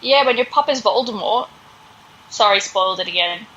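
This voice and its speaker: A woman's voice: mid-range voice, moderate pace, sharp tone, husky, lo-fi sound. A woman hosting a podcast, casually recapping a story in a sarcastic, exasperated way. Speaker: podcast host.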